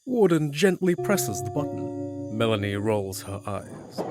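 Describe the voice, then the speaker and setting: A man's voice: lowish voice, big pitch swings, unhurried, music in the background. A man narrating a scripted fantasy story in the third person.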